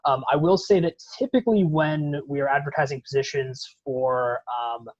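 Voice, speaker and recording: Husky man speaking over a dry, muffled video call, relaxed guest speaker hedging with fillers. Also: medium pitch, normal speed, dry recording. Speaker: guest speaker from a development firm. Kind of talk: casual Q&A answer.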